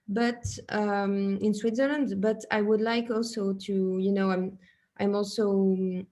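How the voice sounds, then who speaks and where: A woman's voice: mid-range voice, darkish tone. A young woman with a French accent asking a question hesitantly on a video call, with many fillers and restarts. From France. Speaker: early-career researcher asking a question. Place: small office with shelves, indoors.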